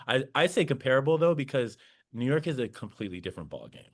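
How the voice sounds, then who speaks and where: A man's voice: mid-range voice, very animated, fast talker. A young man, a podcast guest, talking earnestly in casual interview chat and often restarting his sentences.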